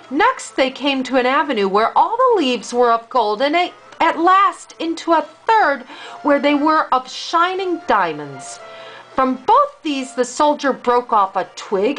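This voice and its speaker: An older woman reads a fairy tale aloud as a grandmother would to a child. Her voice is high and swings expressively through the sentences. Faint music sits behind the reading. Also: fairly bright tone, low-quality recording.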